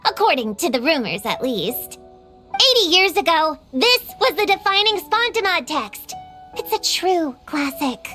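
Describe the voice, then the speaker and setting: A woman's voice: very high-pitched, big pitch swings, unhurried, pushed and bright, faint music behind, exaggerated voice. A woman playing a proud, defensive young girl witch hunter in a video game, with a childlike voice and a self-important manner. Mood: puzzled, haughty. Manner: self-important, hesitant when bluffing.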